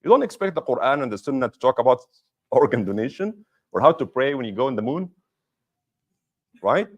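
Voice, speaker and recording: A man's voice: mid-range voice, sing-song, fairly quick, dull audio. A man giving a mosque lecture as imam, explaining patiently with rhetorical questions and repeating key points for emphasis.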